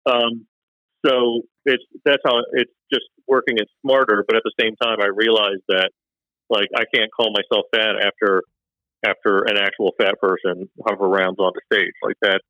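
A man's voice: fairly low pitch, little pitch movement, normal speed, no room sound. Self-deprecating man, dull dry lo-fi sound. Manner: rambling, self-deprecating, many fillers and restarts. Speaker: stand-up comedian. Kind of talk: casual conversation.

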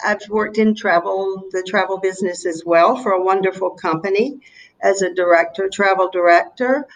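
A woman in her seventies from North Carolina with a Southern US accent, chatting as an interview guest in a reflective, halting, anecdotal way. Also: medium pitch, a little hiss or hum, rough recording. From North Carolina, USA. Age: 70s. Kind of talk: casual interview chat.

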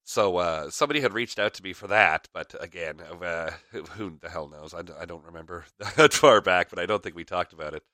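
Podcast host, male, low voice with a slight rasp, rambling, filler-heavy delivery, dry sound. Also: fairly quick, hardly any pauses, sharp tone, no echo. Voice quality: raspy. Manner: rambling, frequent fillers, chuckles. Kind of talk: casual rambling chat.

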